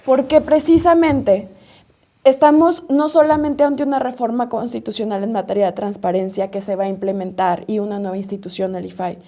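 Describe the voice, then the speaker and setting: A woman with a Mexican accent answering as an interview guest in deliberate, emphatic short phrases. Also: medium pitch, fairly even intonation, warm tone. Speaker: interview guest, rights organization advocate.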